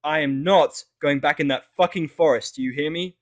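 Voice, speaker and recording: A man's voice: a little high, normal speed, voice pushed up, lightly acted. A man playing a bitter, foul-mouthed, callous human man in a fan fiction audio drama, blunt and snapping. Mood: angry.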